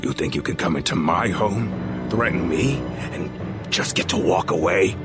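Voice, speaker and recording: An actor playing a proud, hot-tempered crime boss in an audio drama, gruff and defiant in manner. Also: male voice, low voice, normal speed, lightly acted. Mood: angry. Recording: loud dramatic music behind.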